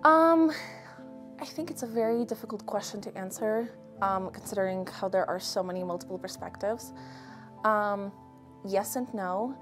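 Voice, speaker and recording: A young woman from Ukraine answering interview questions earnestly, choosing her words with measured, hesitant pauses. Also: medium pitch. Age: young adult. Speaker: interview guest. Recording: music behind the voice. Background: background music.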